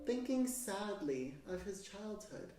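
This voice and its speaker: A woman reading a children's story aloud in an expressive, measured, theatrical way. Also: medium pitch. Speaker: storybook narrator. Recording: very echoey room, distant mic, music. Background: piano music.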